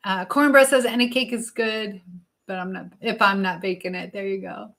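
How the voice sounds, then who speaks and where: A woman's voice: mid-range voice, steady tone, moderate pace, a bit bright. A woman hosting a casual live chat, talking directly to viewers and reading out their comments in a conversational way. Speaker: livestream host. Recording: noticeable background noise with clicks.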